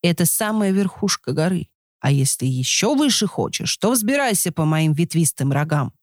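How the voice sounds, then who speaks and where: A woman's voice: on the low side, big pitch swings, normal speed, darkish tone, no room sound, studio quality. A woman voicing a kindly deer in a storybook folk tale. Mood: friendly. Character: kindly male deer on a mountain top.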